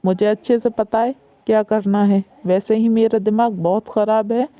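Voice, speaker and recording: A woman's voice: medium pitch, fairly even intonation, moderate pace, warm tone, dry recording, lightly acted. A woman playing a stern, scornful mother-in-law. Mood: scolding. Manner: scolding, dismissive. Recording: dull lo-fi recording.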